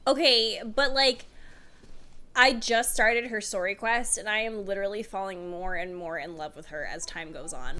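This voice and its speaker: A woman streamer reacting casually to a game, expressive and given to exclamations. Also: mid-range voice, moderate pace.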